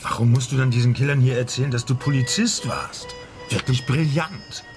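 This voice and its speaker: An actor playing a grumpy, suspicious friend who questions gruffly and sarcastically in a radio crime drama. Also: male voice, medium pitch, normal speed, over music, natural voice. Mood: sarcastic probing.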